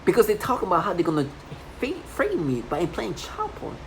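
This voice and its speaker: A woman's voice: on the low side, huge pitch swings, moderate pace. A woman in her thirties in a rambling personal monologue, agitated and repetitive, with many restarts. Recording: noisy home recording.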